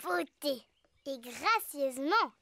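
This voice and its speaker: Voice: high-pitched, expressive, takes it slowly, vocal fry, background noise, heavily acted. A performer voicing a cheerful, confident little girl pig in a French children's cartoon, bubbly and proud. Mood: proud, bossy. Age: young child character. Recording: loud cartoon music behind.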